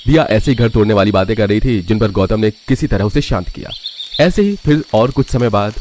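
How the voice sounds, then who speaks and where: A man's voice: lowish voice, lively intonation, fairly quick, a little mellow, a little hiss or hum. A man narrating a scripted family story.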